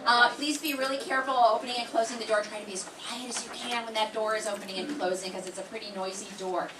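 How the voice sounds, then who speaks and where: A woman's voice: on the high side, steady tone, fast talker, far from the mic. A woman teaching the class, giving chatty, joking welcome remarks to an audience. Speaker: class instructor. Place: college hall with live audience. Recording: echoey room from afar, crowd noise.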